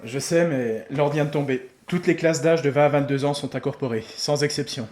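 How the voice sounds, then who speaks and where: A man playing a grave village gendarme in an audio drama, giving urgent, measured warnings. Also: on the high side, a little mellow, lightly acted. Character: grave village gendarme bringing bad news, loyal friend. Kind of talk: French audio drama acting.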